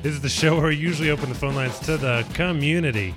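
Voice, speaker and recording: A man's voice: fairly high pitch, quick pace, music in the background. A man co-hosting a radio show from a studio, chatting in a playful, boastful, joking way. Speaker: radio co-host. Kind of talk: casual radio banter.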